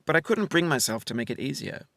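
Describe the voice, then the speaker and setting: A man giving reflective scripted narration as a game developer, in a measured, articulate, philosophical manner. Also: mid-range voice, sing-song, vocal fry, bright, forceful tone, no room sound, studio quality.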